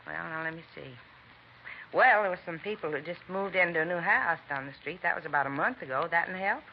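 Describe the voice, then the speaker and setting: A woman acting as a creaky, folksy housewife neighbour. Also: lowish voice, a little fast, lightly acted. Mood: pondering. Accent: American. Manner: folksy, rambling, hesitant. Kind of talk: 1950s radio drama acting. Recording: dull, faintly noisy old radio recording.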